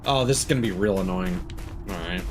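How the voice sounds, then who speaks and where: A man giving casual live commentary as he plays a game, halting and thinking aloud as he goes. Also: deep voice, over music. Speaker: game streamer.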